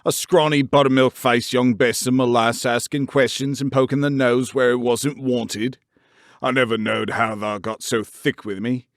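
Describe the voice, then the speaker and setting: A man's voice: mid-range voice, little pitch movement, moderate pace, no echo, clean studio sound, lightly acted. A man reading the part of a grumpy old gardener with a Yorkshire accent, ranting and scolding. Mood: indignant. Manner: ranting, harsh dialect scolding.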